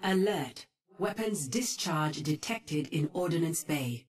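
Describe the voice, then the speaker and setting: An actress voicing a calm, formal video game announcer in clipped, impersonal phrases. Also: female voice, low-pitched, takes it slowly, breathy edge, soft background music, exaggerated voice. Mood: calm, official.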